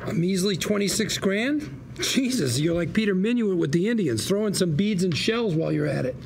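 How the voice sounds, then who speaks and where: A man's voice: sits high, animated, normal speed, creaky, a little hiss or hum. A man playing a scrappy, indignant lawyer at a script read, sarcastic, combative and theatrical. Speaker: actor at a script table read. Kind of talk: acted script read at a table.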